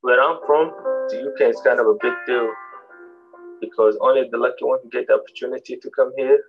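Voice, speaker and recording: A young man from Somalia tells his story in a low, gravelly voice that barely rises or falls. The sound is tinny and phone-like, with faint music underneath. He reads a prepared podcast script at a steady pace. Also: a little fast. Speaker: volunteer podcaster. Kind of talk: scripted personal podcast narration.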